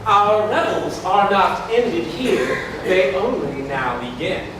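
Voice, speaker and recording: Man as festive masque epilogue speaker, high voice, declamatory, measured stage verse, distant noisy hall recording. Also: lively intonation. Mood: grandly festive. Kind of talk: theatrical verse staged reading. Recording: echoey hall from afar, clear noise.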